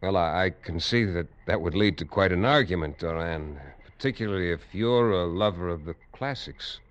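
Radio drama detective, male actor: deep voice, cool and probing under an easygoing surface. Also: normal speed, natural voice. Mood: coolly probing. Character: shrewd, cool detective hunting a murderer, playing casual. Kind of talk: 1940s-50s radio drama acting.

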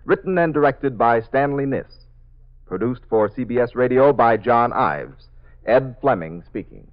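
A slightly dull vintage radio recording of a male announcer. He reads in a formal, scripted 1950s broadcast style at a medium pitch. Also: animated, a little slow.

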